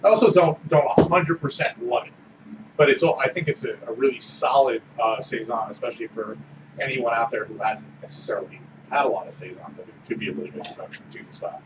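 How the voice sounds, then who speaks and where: A man's voice: mid-range voice, quick pace. Podcast co-host, male, casual and thoughtful, recorded from a distance with a noisy hiss. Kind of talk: casual beer-tasting chat. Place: small room with orange walls. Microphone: desk mic on boom arm. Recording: roomy, distant, dull with loud background hiss.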